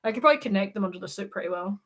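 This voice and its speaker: A woman's voice: medium pitch, expressive, rapid-fire. A young woman with a Scottish accent, co-hosting a livestream in casual chat, giggly and sweary. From Scotland. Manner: giggly and sweary, jokes around. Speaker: livestream co-host.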